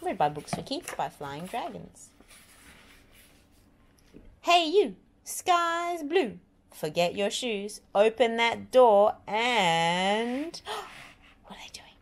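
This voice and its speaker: A woman reading a picture book aloud to young children with dramatic, drawn-out, expressive delivery. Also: fairly high pitch.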